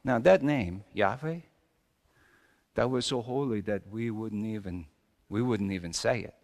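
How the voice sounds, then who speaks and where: A man playing Joseph, a wry, bewildered carpenter, in a halting, colloquial and theatrically emotional dramatic monologue. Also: fairly low pitch, a little mellow, pitched below the performer's own voice. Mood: hurt, subdued. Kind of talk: dramatic comic monologue in a sermon. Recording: echoey hall with long reverb.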